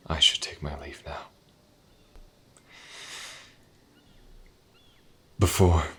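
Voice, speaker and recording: A man playing a sorrowful, duty-bound immortal mage in a fantasy audio roleplay, solemn, measured and tender, in a breathy, half-whispered voice. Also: extremely low pitch, bright, forceful tone, full character voice. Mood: resigned, loving. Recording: music behind the voice.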